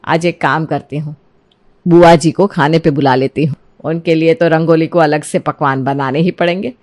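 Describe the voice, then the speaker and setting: A woman acts out a kindly middle-aged mother-in-law for a cartoon moral tale. She talks gently and coaxingly, in a lowered motherly register, with some quiet cunning. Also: fairly even intonation, normal speed, lightly acted. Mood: coaxing, plotting. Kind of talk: Hindi cartoon moral story dialogue.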